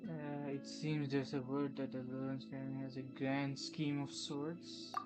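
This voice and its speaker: Gaming host, casual, short muttered reactions, loud game music over the voice. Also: medium pitch, little pitch movement, measured pace. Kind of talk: casual gameplay commentary.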